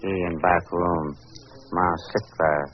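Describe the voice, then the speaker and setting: Very deep, slow den attendant. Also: male voice, steady tone. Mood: servile, guarded. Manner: terse broken English, deferential. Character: subdued, servile male attendant of an opium den. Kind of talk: 1940s radio acting. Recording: noisy, muffled old radio recording.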